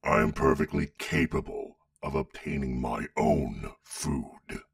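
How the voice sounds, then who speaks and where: A man playing a huge, imposing, scornful father dragon in a fantasy audio drama, with a stern, gravelly growl. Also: extremely low pitch, slow, no echo, studio quality, recorded from a distance, heavily acted. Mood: cold, scornful. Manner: stern, imperious, clipped.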